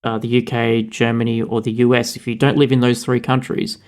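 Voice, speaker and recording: A man's voice: mid-range voice, level tone, fairly quick, a little mellow. A man with an Australian accent, co-hosting a podcast and explaining tech news in a casual, fluent way. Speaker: podcast co-host.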